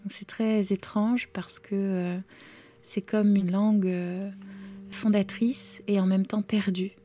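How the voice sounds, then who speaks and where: A novelist, a woman whose first language is Romanian, answering interview questions thoughtfully with many pauses and fillers. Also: medium pitch, flat delivery, dark tone. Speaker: novelist guest.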